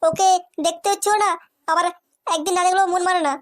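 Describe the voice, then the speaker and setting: A woman's voice: very high-pitched, flat delivery, brisk. A woman voicing a coy, hesitant, lovestruck younger sister in a comic cartoon.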